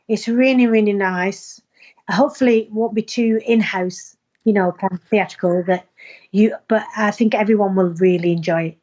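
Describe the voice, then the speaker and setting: An actress talks in an informal interview, earnest and full of emphatic enthusiasm. Her voice sits at an average pitch for a woman. The recording is slightly dull and lo-fi. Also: mellow. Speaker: actor being interviewed. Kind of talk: informal interview, promoting an audio play.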